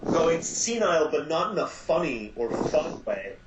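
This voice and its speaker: A man's voice: on the high side, very animated, unhurried, noisy background. Roomy-sounding man musing at length. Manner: thoughtful, rambling with many restarts. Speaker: podcast guest. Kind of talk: casual podcast chat. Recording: roomy home podcast audio.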